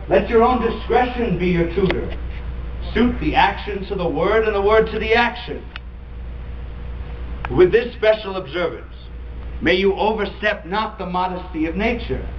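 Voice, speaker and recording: An intense princely figure acted by a man delivers a classical stage monologue in a high voice. He instructs players with emphatic, formal Elizabethan diction. The recording is distant, with some background noise. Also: lo-fi sound. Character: intense Danish prince lecturing a troupe of players.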